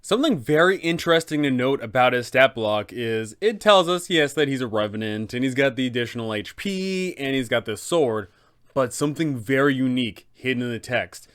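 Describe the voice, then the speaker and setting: A man's voice: medium pitch, expressive, fairly quick, a bit bright, clean tone. A man narrating advice for game masters, enthusiastic and speaking straight to viewers. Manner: enthusiastic, addresses viewers directly. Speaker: game master guide host.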